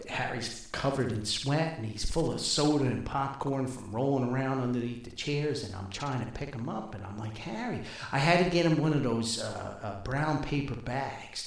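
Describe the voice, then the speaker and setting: Older guy podcast host telling funny stories, folksy, gravelly creak, super echoey room. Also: medium pitch. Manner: folksy, chuckles often, acts out dialogue. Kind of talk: humorous anecdotal storytelling. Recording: very roomy, echoing sound.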